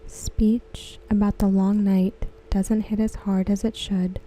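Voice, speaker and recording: A woman reading aloud in a soft, breathy, near-whispered ASMR style, gentle and measured, close to the microphone. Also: mid-range voice, flat delivery, low-quality recording. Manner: gentle, hushed, measured delivery. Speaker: ASMR host. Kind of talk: softly spoken ASMR read-aloud of forum comments.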